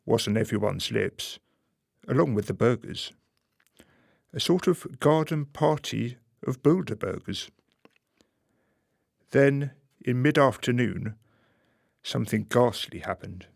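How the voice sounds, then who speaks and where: A male narrator tells a scripted story for a radio play. His voice has a gravelly creak and a wry, measured manner, recorded very dry. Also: mid-range voice, lively intonation, dry recording.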